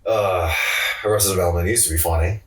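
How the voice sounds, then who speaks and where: A man's voice: low-pitched, steady tone, brisk. A man co-hosting a podcast, bantering casually in a sardonic, mock-grumpy and sweary way. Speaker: podcast co-host. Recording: roomy, echoey, distant from mic.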